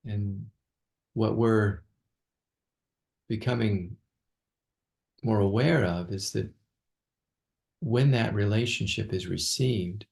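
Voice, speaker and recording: A man leading an unscripted guided meditation as a teacher, speaking haltingly with long pauses and repeated words. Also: on the low side, vocal fry, a little mellow.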